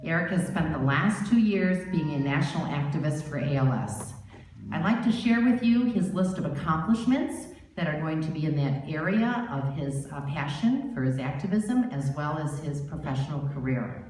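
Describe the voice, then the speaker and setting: A woman's voice: low voice, animated, moderate pace, darkish tone. Woman reading out an award intro, really echoey and far from the mic. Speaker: award presenter. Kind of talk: scripted speech introducing an award honoree. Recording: very echoey, distant, faint noise.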